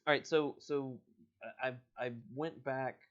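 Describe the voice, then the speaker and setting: A man hosting a livestream storybook read-aloud, animated and joking, with casual self-deprecating asides. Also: mid-range voice, unhurried, dull audio. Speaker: host reading a book aloud on a livestream.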